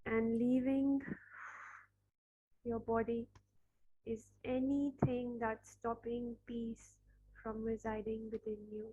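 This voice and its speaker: A woman leading a guided meditation, speaking softly with long pauses between phrases. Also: fairly high pitch, little pitch movement, dull audio. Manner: slow, soft, pausing between phrases.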